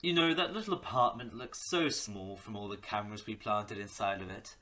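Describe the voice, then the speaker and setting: A man's voice: on the low side, moderate pace, sharp tone. A man playing an arrogant, menacing crime boss in an audio roleplay, speaking with theatrical condescension. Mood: sinister, amused. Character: menacing, arrogant male crime boss, smug mentor turned captor. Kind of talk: audio roleplay villain acting.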